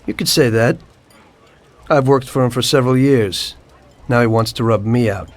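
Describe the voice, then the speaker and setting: A man's voice: medium pitch, normal speed, close to an everyday voice. An actor playing a former cab driver turned mafioso who coolly tells his story to a detective in a game cutscene. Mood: calm. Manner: calm, matter-of-fact storytelling. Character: male mafioso turned informant, calm and unrepentant. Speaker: narrator of his past. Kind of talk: video game cutscene acting.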